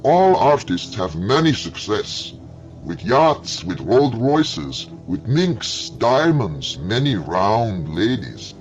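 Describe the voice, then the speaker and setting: A man's voice: fairly low pitch, big pitch swings, slow. A man voicing a pushy male alien exterminator who speaks in broken English with eager, insistent phrasing, on a dull recording with faint music.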